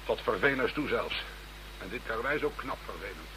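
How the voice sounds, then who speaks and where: An actor playing a gruff, sardonic investigator who leads a case in a radio play. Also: male voice, medium pitch, animated, fairly quick, close to an everyday voice. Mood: dry, brisk. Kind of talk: scripted radio play acting. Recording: faint background hum and noise.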